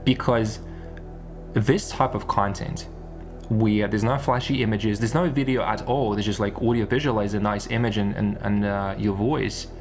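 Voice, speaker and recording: A man's voice: on the low side, fairly even intonation, normal speed, soft background music. A man giving an unscripted, rambling monologue as an online content creator, repeating phrases and posing rhetorical questions. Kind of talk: unscripted one-take monologue.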